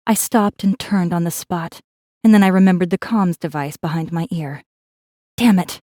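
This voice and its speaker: Low-voiced, wry woman acting rebel messenger. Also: normal speed, mellow, no echo, clean studio sound, natural voice. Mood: wry, irritated. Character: wry, guarded female rebel messenger and secret killer. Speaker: audiobook narrator in first person. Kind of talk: scripted dramatic narration.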